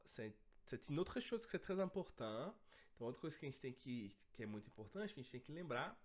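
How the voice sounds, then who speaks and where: A man with a hoarse, cold-roughened voice teaches an online language lesson. His pitch swings widely as he talks, lively and animated. He keeps checking in with his students as he goes. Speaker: French teacher.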